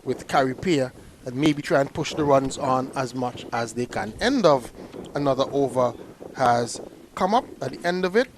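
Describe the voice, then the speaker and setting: A man's voice: medium pitch, expressive, normal speed, a little hiss or hum. A man giving live cricket commentary in a chatty way, often repeating words as he restarts a sentence.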